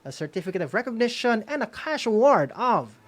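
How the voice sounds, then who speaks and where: A woman's voice: fairly high pitch, very animated, a little fast, faint music behind. A woman with a Filipino accent co-hosting an awards announcement, mixing English with Visayan and tagging phrases with "no?". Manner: mixes English with Visayan, frequent "no?" tags. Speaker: co-host of an online awards ceremony. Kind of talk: announcing award winners, semi-scripted.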